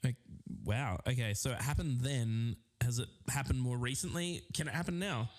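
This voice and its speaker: Heavy background noise, male interviewer played by a man, medium pitch, curious, informal podcast questions. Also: normal speed, natural voice. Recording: very noisy, clicking interview recording.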